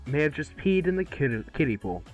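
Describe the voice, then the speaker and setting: A man's voice: a little high, sing-song, brisk, faint music behind, poor audio. A man reading the part of a cocky, glib billionaire playboy in an amateur table read, flippant and rambling, sometimes stumbling over big words.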